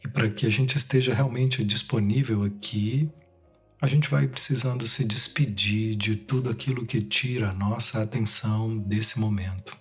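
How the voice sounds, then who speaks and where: A Brazilian man leading a guided meditation, speaking in short, unhurried phrases with long pauses between them. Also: lowish voice, fairly even intonation, a bit bright, breathy edge. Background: music.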